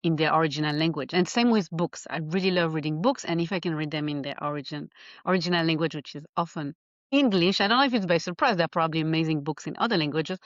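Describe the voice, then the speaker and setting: A woman from Geneva with a Swiss French accent, a life coach chatting in an interview and often pausing to find her words. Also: low voice, no room sound, dull audio. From Geneva, Switzerland. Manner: animated, laughs often, searches for words. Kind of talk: casual interview chat.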